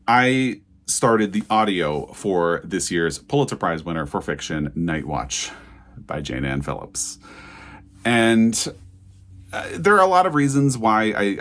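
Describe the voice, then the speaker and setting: A man's voice: deep voice, a bit bright. A man talking casually and candidly to viewers as a book reviewer, stressing his opinions as he goes. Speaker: book reviewer and video host.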